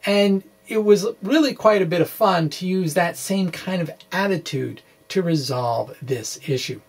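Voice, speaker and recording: Slow, creaky middle-aged man explaining. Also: high-pitched. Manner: halting, quirky, playfully dramatic. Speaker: science blogger presenting puzzle answers. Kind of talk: semi-scripted explanation, reading a blog aloud. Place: small indoor room with bookshelves. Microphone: desk microphone in front of him.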